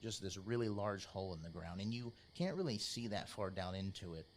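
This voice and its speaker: A man narrating a tabletop role-playing game as game master, in a measured, informal, descriptive storytelling style. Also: low-pitched, animated, a little hiss or hum. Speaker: game master, podcast host.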